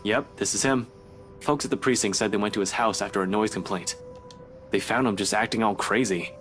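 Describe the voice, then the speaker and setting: A man's voice: lowish voice, brisk, fairly bright tone, soft background music, lightly acted. An actor playing a blunt, matter-of-fact male police officer. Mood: grim.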